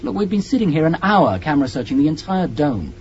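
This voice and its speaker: A man's voice: on the high side, sing-song, fast talker, a little mellow. An actor playing an eager, inquisitive young crewman in a 1980s radio space drama. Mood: insistent. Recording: dull old radio recording, faint noise.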